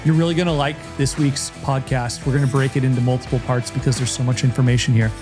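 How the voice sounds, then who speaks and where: A man hosts a podcast in a relaxed, rambling manner with a middle-range voice. A guitar music bed plays clearly behind his intro. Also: medium pitch, quick pace. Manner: relaxed, rambling, many fillers and restarts. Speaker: podcast host. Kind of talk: casual podcast hosting.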